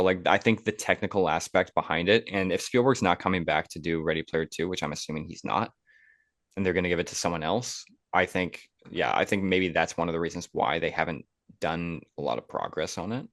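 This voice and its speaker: Deep-voiced man hosting a casual podcast. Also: fairly bright tone, no echo, studio quality. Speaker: podcast host. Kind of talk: casual film podcast discussion.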